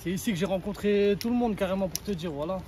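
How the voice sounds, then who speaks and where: A young man in his twenties talks quickly in loose street slang, sprinkling filler tags through his lines. His voice sits a touch high for a man. The sound is roomy and picked up from a little way off the mic, with faint street noise behind. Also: recorded from a distance. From Sri Lankan heritage, raised in France. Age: 20s. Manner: fast slangy talk, full of filler tags. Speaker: rapper interviewee. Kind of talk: casual street interview. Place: outdoors in a neighborhood.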